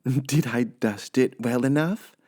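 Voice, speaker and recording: A man's voice: medium pitch, slow pace, a little mellow, husky, voice pushed up. A man voicing a cheerful, literal-minded housemaid in a children's storybook, earnest and eager to please. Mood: eager, innocent.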